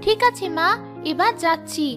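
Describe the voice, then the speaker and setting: A woman's voice: extremely high pitch, moderate pace, music in the background. A woman playing a sweet, dutiful young wife and daughter-in-law in a Bengali cartoon. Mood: caring.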